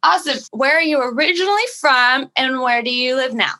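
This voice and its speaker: A woman's voice: high voice, a little fast, sharp tone. A woman guest-hosting an interview, asking friendly questions in an upbeat, casual way.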